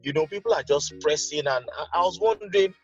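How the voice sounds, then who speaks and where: A man's voice: fairly high pitch, brisk. Nigerian man, dull lo-fi sound over music. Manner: emphatic, uses repetition for effect. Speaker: interview guest, loudspeaker engineer and entrepreneur. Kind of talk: conversational interview storytelling. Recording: dull lo-fi audio with music behind. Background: background music.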